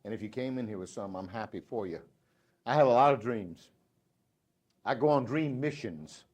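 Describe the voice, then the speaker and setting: A man's voice: mid-range voice, expressive, normal speed. Folksy Southern man giving a sales talk. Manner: folksy, joking, swings from loud to hushed. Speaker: motivational sales speaker. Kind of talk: live speech to a sales audience. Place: dealership meeting room.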